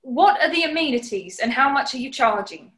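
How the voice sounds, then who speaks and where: An actress playing a plucky, blunt, sceptical British housemaid with a practical, indignant manner in a 1940s-style radio comedy. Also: female voice, sits high, lively intonation, a little fast, a bit bright. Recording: echoey room.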